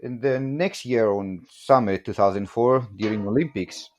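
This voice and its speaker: A man's voice: on the low side, moderate pace, a little mellow. Greek-accented man telling a story. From Greece. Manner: chatty and jokey, laughs often, filler "you know". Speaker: guest, barbell company production manager. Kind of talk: casual podcast storytelling.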